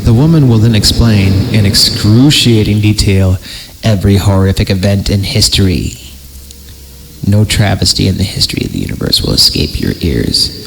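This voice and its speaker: Low-pitched man reading horror aloud. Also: animated, normal speed, vocal fry, fairly bright tone, slight background noise, poor audio. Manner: theatrical, stumbles and breaks off to react. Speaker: podcast host reading horror stories. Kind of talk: dramatic horror story narration with joking asides.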